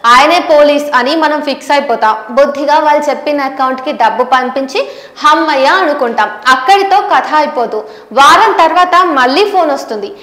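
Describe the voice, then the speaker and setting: A woman's voice: high-pitched, expressive, moderate pace, fairly bright tone. A woman journalist, presenting a scripted news explainer directly to viewers. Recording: roomy, echoing voice recording.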